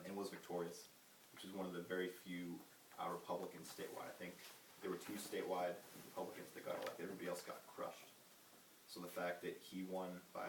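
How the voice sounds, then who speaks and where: A young man giving a halting campaign speech full of ums and uhs while losing his voice. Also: sits low. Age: young adult. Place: meeting room at a retreat. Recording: roomy, distant, noisy hall recording.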